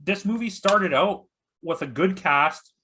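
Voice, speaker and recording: A man with a fairly high, bright and forceful voice in a casual film discussion. He is blunt and opinionated as a guest critic, dismissive and quick to swear. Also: a little hiss or hum. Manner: blunt, profane, disparaging.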